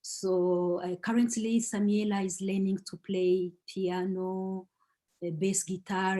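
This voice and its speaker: A middle-aged woman from the Democratic Republic of Congo, introducing herself as a guest speaker at an online talk in a measured way, with frequent hesitations. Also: medium pitch, warm tone. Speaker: guest speaker, singer-songwriter.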